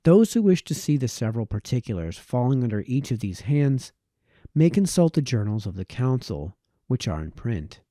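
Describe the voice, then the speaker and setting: A man reading a formal historical text aloud as a narrator, in measured, formal phrasing. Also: mid-range voice, sing-song, warm tone, no room sound, clean studio sound. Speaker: reader, narrator.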